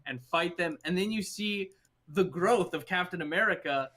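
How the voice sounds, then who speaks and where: A man co-hosting a casual movie discussion, talking in an animated, opinionated, emphatic way. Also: high-pitched, moderate pace. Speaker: podcast co-host.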